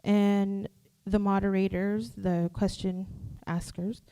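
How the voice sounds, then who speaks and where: A woman's voice: mid-range voice, level tone, takes it slowly, warm tone, smooth. A woman staffer formally reading out the rules at a live forum. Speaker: youth program staffer reading debate rules. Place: live candidate forum before an audience.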